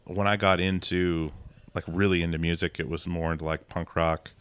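A man's voice: deep voice, little pitch movement, moderate pace, fairly bright tone, clear, resonant tone, dry recording. A man hosting a podcast, putting questions to his guest in casual, conversational speech. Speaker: podcast host.

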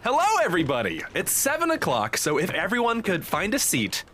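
A man's voice: high-pitched, huge pitch swings, a little fast, bright, forceful tone, a little hiss or hum, heavily acted. A man playing an upbeat, welcoming counselor who leads a support group in an audio drama, reassuring and encouraging. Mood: welcoming, cheery.

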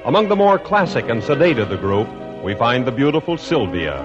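A man's voice: medium pitch, very animated, brisk, over music. A man announcing from a script on 1950s radio, with polished, formal phrasing. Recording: dull, echoey old radio recording.

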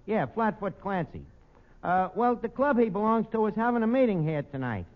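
Brash New York barkeep, high animated voice, pompous wisecracker, vintage radio comedy. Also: male voice, normal speed. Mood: breezy, chatty. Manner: pompous malapropisms, streetwise slangy talk. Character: brash, dim, wisecracking male tavern manager mangling big words. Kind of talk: 1940s radio comedy acting. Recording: muffled old radio recording, clear noise.

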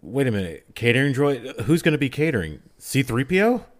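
A man's voice: medium pitch, sing-song, a little fast. A man co-hosting a podcast, chatting casually in a joking, teasing way. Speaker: podcast co-host. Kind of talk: casual banter.

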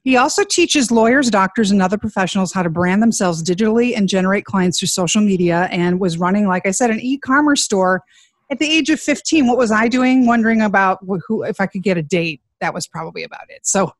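A woman's voice: mid-range voice, fast, dry recording. A woman co-hosting a podcast interview, introducing the guest in an upbeat, joking way. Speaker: podcast co-host. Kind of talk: casual interview chat.

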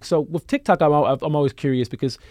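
Man, interview host, quick pace, casual, agreeable, repeats phrases, dry close sound. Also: fairly high pitch, darkish tone, no room sound.

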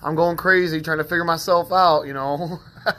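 Podcast guy rambling thru self-help stuff, soothing voice, kinda high pitched. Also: steady tone, normal speed, bright, forceful tone. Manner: rambling, conversational, many fillers. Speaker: podcast host.

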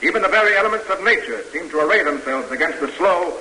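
An actor playing an earnest young military cadet who recites crisply and formally in an old radio drama. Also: male voice, fairly high pitch, lively intonation, fast talker, sharp tone, rough recording. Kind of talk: 1940s-50s radio drama acting. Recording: hissy vintage radio recording.

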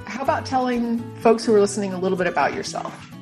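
A woman hosting a podcast, welcoming her guest in a friendly way and asking gentle interview questions. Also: a little high, fast talker. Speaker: podcast host. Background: guitar music.

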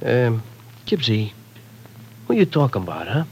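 A man's voice: medium pitch, sing-song, moderate pace, a little mellow, a little hiss or hum. An actor playing a slangy, pushy, streetwise young man in an old radio drama. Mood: wheedling. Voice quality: slangy tough-guy speech. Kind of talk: 1940s radio acting.

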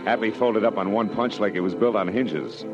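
An actor playing a tough, wry private detective, sardonic and clipped, in 1940s hardboiled radio drama style. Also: male voice, fairly low pitch, moderate pace, muffled recording, loud music behind the voice, lightly acted. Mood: wry, grim. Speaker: lead actor and narrator.

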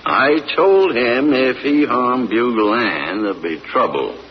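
Casting: a drawling old farmer who pleads for his dog, slow and lively in pitch, dull old radio sound. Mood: defiant. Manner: slow plain rural drawl, earnest. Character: old farmer on trial, defending his hound. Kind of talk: 1940s radio acting. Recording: dull old radio broadcast, faint hiss.

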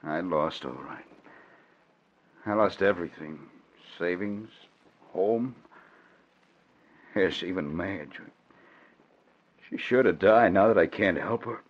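A man's voice: sits low, slow, pauses often. An actor playing a bitter, despairing man ruined by gambling, in 1940s radio drama style. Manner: defeated, embittered, emphatic. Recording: dull old radio recording.

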